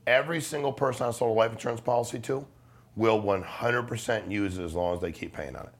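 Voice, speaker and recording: A middle-aged man talking casually and persuasively as an interview guest, blunt and emphatic, with slang and swearing. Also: deep voice. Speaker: podcast guest, life insurance salesman.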